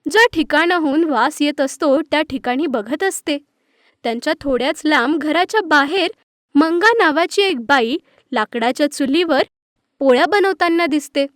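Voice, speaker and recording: A woman storyteller with a high voice reads a scripted children's tale. The recording is very dry and close. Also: expressive, moderate pace, a bit bright, no echo. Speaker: narrator.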